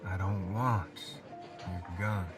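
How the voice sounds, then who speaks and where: A student actor playing a big, peaceable, war-weary veteran in an amateur western radio play, laconic and restrained. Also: male voice, deep voice, fairly even intonation, takes it slowly, soft background music. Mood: reluctant.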